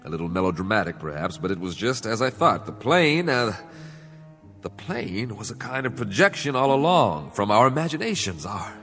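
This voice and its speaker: A man playing a self-assured aviation investigator in a radio drama, speaking deliberately and theatrically with probing pauses and rhetorical questions. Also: lowish voice, sing-song, fairly bright tone. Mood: wry, reflective. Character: self-assured male aviation investigator chasing an uncanny theory. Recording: music behind the voice.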